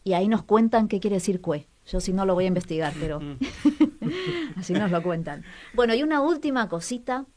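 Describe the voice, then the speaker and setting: A woman with a mid-pitched voice presents a radio cultural agenda. She talks casually, as if chatting with colleagues, feeling her way through sentences and repeating words for emphasis. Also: expressive, moderate pace, darkish tone. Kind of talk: casual radio chat with recommendations.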